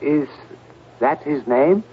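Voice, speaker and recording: Probing, measured doctor in a radio play, slow delivery, thin band-limited broadcast sound. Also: male voice, on the high side, animated, breathy edge, exaggerated voice. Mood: calm, questioning. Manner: measured, deliberate, insistent. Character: calm, probing male psychiatrist confronting a patient. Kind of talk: radio drama acting. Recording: narrow tinny old radio broadcast, hiss.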